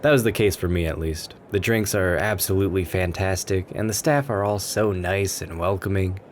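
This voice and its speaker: A man playing a shy, sweet, nervous writer chatting to a stranger in a café, in a gentle, rambling ASMR roleplay style. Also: sits low, moderate pace, natural voice. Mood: chatty, warm. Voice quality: gentle, soft-spoken. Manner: anxious, rambling, earnest. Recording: noticeable coffee shop ambience noise. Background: coffee shop ambience.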